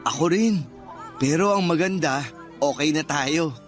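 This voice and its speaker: A man's voice: a little high, a little slow, soft background music, close to an everyday voice. A male actor playing a kind, good-natured young suitor in a Filipino radio drama, sincere and friendly.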